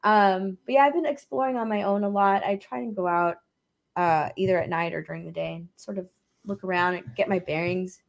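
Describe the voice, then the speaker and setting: A woman from Texas, a guest on a painting stream, chatting in a relaxed, rambling way, her voice still slightly hoarse. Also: mid-range voice, a little fast. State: slightly hoarse after illness. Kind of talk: casual chat.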